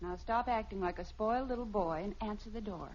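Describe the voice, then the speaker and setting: An American actress playing a brisk, self-assured, teasing wife and mother in an old radio family comedy. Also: mid-range voice, darkish tone, close to an everyday voice. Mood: sweetly teasing. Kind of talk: mid-century radio sitcom acting. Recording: muffled, noisy old radio recording.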